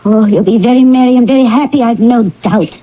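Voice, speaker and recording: A woman's voice: on the high side, fairly quick, lightly acted. An actress playing an affectionate, plain-spoken mother in a radio drama, emotional and outspoken. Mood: grudging, indignant. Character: affectionate, plain-spoken working-class mother, Mrs. Cratchit. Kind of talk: old-time radio drama acting. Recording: muffled vintage radio broadcast.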